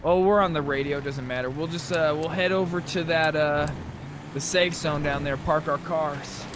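A man's voice: fairly high pitch, steady tone, normal speed, background noise. A man talking casually in character while playing an online roleplay game. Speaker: roleplay gamer.

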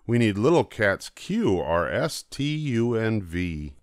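Older man reading as eager, animated little storybook cats. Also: fairly low pitch, slow pace, no echo, clean studio sound. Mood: eager, pleading. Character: eager little helper cats, small and determined. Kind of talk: children's storybook character reading.

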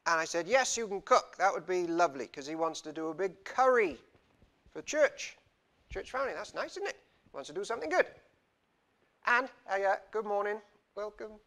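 A man's voice: high voice, expressive, normal speed, fairly bright tone. A young man from Wales giving a chatty, self-deprecating sermon as a visiting preacher in a small church, on a lapel mic. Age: young adult. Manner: gestures freely while talking. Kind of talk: informal sermon. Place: small church hall, indoors.

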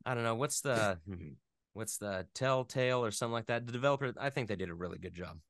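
A man speaking as an interview guest in casual chat, thoughtful and often halting as he searches for words. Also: mid-range voice, a bit bright, no echo, clean studio sound. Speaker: interview guest, video game voice actor.

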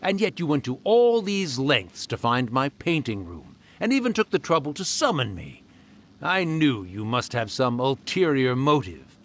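A man's voice: medium pitch, big pitch swings, moderate pace, a little hiss or hum. A man voicing an ancient spirit storyteller who is wry, resigned and fond of an old friend, in a video game.

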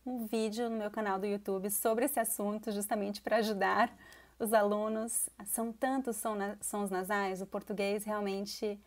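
A woman's voice: medium pitch, level tone, normal speed. A woman in her thirties from Brazil, a Portuguese teacher talking in a casual interview, enthusiastic and laughing often.

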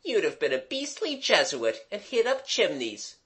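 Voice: mid-range voice, expressive, a little slow, a bit bright. A narrator voicing a scornful young boy who grumbles witheringly in a storybook. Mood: grumbling, withering. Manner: withering, muttering.

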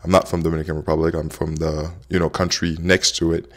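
A man's voice: very deep voice, flat delivery, fast. A man in his thirties from Haiti, hosting a casual explainer vlog in a conversational way. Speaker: host, app co-founder.

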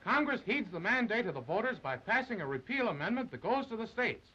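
A man's voice: high voice, very animated, fairly quick, pushed and bright, slightly rough, dull audio. A man narrating a 1930s newsreel in a dramatic, emphatic, declamatory style, on an old film soundtrack.

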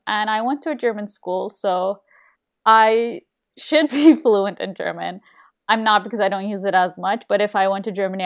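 A young woman from Turkey chatting casually while streaming a game, rambling with lots of fillers and restarts, recorded at a desk mic in a small room at home. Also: mid-range voice. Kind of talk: casual chat while gaming.

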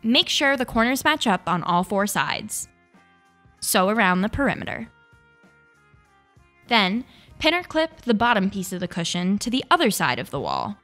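A woman's voice: mid-range voice, big pitch swings, normal speed, long pauses, pushed and bright. A young woman hosting a craft tutorial, reading scripted step-by-step instructions. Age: young adult. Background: background music.

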